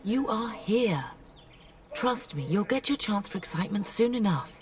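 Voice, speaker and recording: Actress as a distant-sounding noble mother, gently firm. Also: medium pitch, lively intonation, normal speed, lightly acted. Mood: composed. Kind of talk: video game voice acting.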